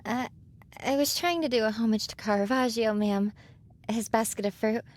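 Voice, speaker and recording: A woman's voice: on the high side, normal speed, close to an everyday voice. A woman playing an unsure, self-doubting seventeen-year-old girl art student in an audio drama, with a youthful, hesitant manner. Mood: nervous, sheepish.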